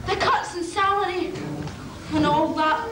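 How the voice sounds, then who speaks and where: Very high-pitched, Scottish, downtrodden cheeky nursemaid acted by a woman, stage pantomime, projected, distant noisy recording. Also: a little slow. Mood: aggrieved. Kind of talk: amateur community pantomime stage acting. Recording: echoey hall stage, distant mic, noisy audience.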